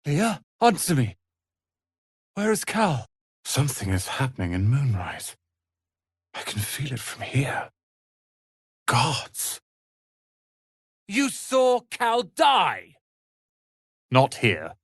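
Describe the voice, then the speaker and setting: A man voicing a proud, sharp-tongued young tiefling wizard's apprentice in a video game, with haughty, theatrical, biting delivery. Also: mid-range voice, huge pitch swings, slow pace, pauses often, slightly rough, no echo, studio quality, exaggerated voice. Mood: anxious, demanding, then grieved, subdued. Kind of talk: dramatic video game voice acting.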